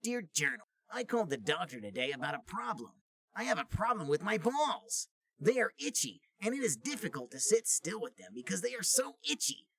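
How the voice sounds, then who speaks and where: A man's voice: a little high, a bit bright, pitched above the performer's own voice. A man voicing a whiny, easily embarrassed teenage cartoon hedgehog boy in a comic fan fiction reading.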